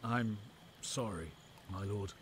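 A man voicing a loyal, solemn companion to a king in an audiobook, gentle, reverent and formal. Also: fairly low pitch, slight background noise. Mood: regretful. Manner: slow, drawn-out, formal. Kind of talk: audiobook character dialogue.

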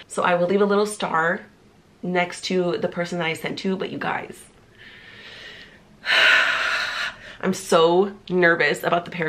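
A woman in her thirties talks to camera, bubbly and full of "like" fillers. She is congested from a cold, so her voice sounds stuffy and nasal. Her pitch sits a little low for a woman. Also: a bit bright. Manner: bubbly, talkative, lots of "like" fillers. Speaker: vlogger. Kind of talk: casual chatty vlog. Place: small indoor room, seated before a pink wall.

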